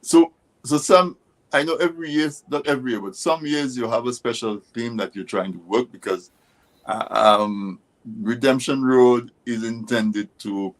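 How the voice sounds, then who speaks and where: A man hosting a conversational radio interview, speaking in halting, deliberate phrases. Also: mid-range voice. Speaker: interview show host.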